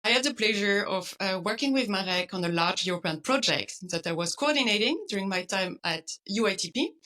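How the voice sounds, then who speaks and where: A woman hosts an interview over a video call, friendly and quick to laugh. Her voice is bright, with an ordinary pitch for a woman. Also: animated. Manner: friendly, laughs often, light fillers. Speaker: podcast host, interviewer. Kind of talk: interview hosting.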